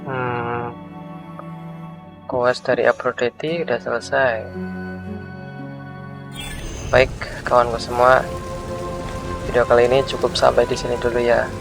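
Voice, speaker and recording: An Indonesian-accented man hosts a gaming video in a mid-pitched voice. He comments casually, repeating words while thinking aloud, with clear game music behind him. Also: level tone, normal speed, long pauses.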